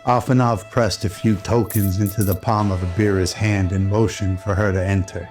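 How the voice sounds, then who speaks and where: A man's voice: low-pitched, steady tone, moderate pace. A man narrating a scripted radio drama in a pulp noir storytelling style. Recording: music under the voice.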